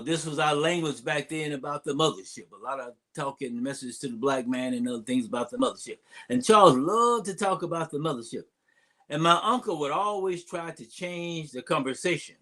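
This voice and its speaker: An older man, an imam, giving an unscripted public address, telling stories from memory in a halting way with digressions. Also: fairly high pitch, a bit bright. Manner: halting delivery with long digressions. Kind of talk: unscripted storytelling address, reminiscing.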